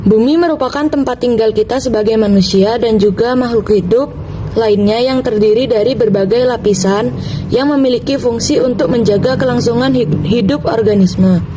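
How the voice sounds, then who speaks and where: A young woman, reading an educational explanation as a student podcast co-host. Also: moderate pace. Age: young adult. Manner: reading prepared material. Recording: noisy, vehicle-like ambient rumble.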